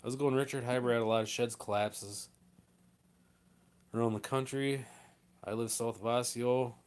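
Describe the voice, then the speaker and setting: A man's voice: mid-range voice, steady tone, normal speed, a little mellow. A man, a farmer chatting casually as he hosts a live stream and answers comments in a plainspoken, rambling way.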